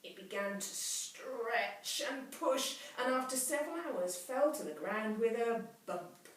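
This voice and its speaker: Female storybook reader for children, lively swooping pitch, dramatic hushed endings, very echoey room. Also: slow, distant mic. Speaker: teacher reading a story to children. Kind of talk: expressive children's storybook narration. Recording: very echoey room, off mic, faint hiss.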